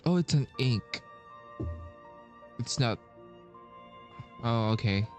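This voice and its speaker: Male gamer commentator: medium pitch, unhurried, offhand and hesitant, faint music underneath. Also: animated. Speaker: gamer playing through a story quest. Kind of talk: casual gaming commentary, reading dialogue aloud.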